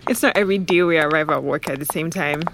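A woman's voice: low-pitched, expressive, fairly quick, background noise. A woman playing a friendly, playful young office colleague in an audio drama. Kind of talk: naturalistic audio drama acting. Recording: music behind the voice.

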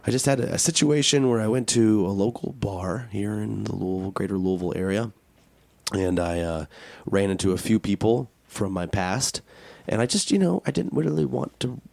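An American man co-hosting a talk podcast in casual chat, joking and self-deprecating, with a raspy voice from a cold. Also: fairly low pitch, normal speed, darkish tone, no room sound. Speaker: podcast and radio show co-host.

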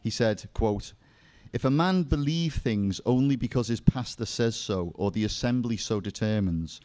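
A man's voice: medium pitch, moderate pace, mellow. A man with a Liverpool accent giving a seminar talk on faith to a live audience, with self-deprecating jokes and asides. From England. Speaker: seminar speaker.

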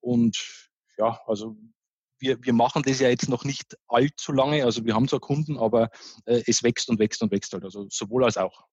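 A man with a Bavarian accent tells his founding story in a relaxed, chatty way. He is full of little fillers and restarts. His voice is slightly husky, and the recording is dry and a little dull. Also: medium pitch, moderate pace, dry recording. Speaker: start-up founder, guest.

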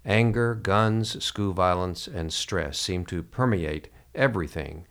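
A man hosting a reflective interview, speaking in a halting, measured way. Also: deep voice. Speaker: podcast host.

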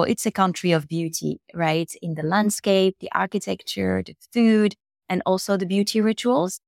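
A woman in her forties from Morocco, talking through her answers in an interview. She pauses and restarts as she thinks, with a fairly low voice. The sound is dry and close. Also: no room sound. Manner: thoughtful, with frequent fillers and restarts. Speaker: guest, beauty company founder.

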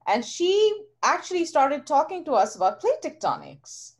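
Woman academic on a podcast, kinda high voice, earnest, laughs a lot. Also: big pitch swings, moderate pace. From India. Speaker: geoscience professor, podcast guest. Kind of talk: casual interview talk.

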